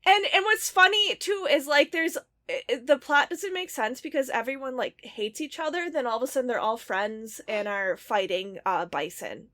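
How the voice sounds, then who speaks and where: A woman co-hosting a podcast, recapping films in an animated, rambling way with lots of "like". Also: high-pitched, normal speed, few pauses. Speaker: podcast co-host. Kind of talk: casual chat.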